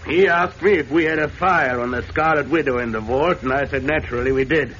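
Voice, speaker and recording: An actor playing a bitter, vengeful captured gangster in a snarling, melodramatic 1940s radio style. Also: male voice, a little high, a little fast, a bit bright, lightly acted. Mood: resentful recall. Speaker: prisoner under police questioning. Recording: dull, noisy old radio recording.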